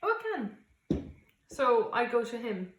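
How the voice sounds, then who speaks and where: A woman's voice: fairly high pitch, a little slow, noisy background. A woman playing a board game, playful and asking about the rules. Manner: playful, asks rule questions. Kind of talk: casual board game playthrough chat. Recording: echoey room.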